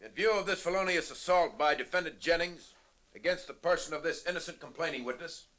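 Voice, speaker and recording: A forceful, formal officer of the law in a 1950s radio crime drama. The voice is high for a man and has a bright, hard edge.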